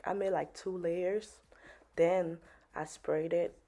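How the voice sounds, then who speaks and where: A woman's voice: lowish voice, steady tone, takes it slowly, mellow. A woman from Liberia, chatting casually through a wig unboxing tutorial and calling her viewers sis and y'all. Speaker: beauty vlogger.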